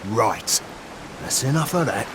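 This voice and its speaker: Blunt Cockney sailor voiced by a man, highly animated. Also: measured pace, background noise, natural voice. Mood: exasperated. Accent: British, Cockney. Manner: blunt, rough-and-ready, animated. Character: burly, loyal, blunt male pirate-ship crewman. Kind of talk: video game cutscene acting.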